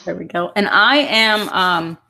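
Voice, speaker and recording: A woman hosting a casual podcast, relaxed and a little hesitant, chuckling now and then. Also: lowish voice, a little slow, vocal fry. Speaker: podcast host.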